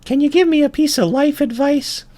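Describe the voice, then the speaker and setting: A man voicing a tiny young runt ant, polite and naively earnest, with a thin squeaky voice in a comic story. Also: moderate pace, mellow. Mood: hopeful.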